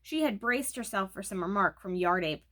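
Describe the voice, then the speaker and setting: A woman's voice: mid-range voice, expressive, normal speed, fairly bright tone. A woman reading a children's book aloud as narrator. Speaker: storybook reader.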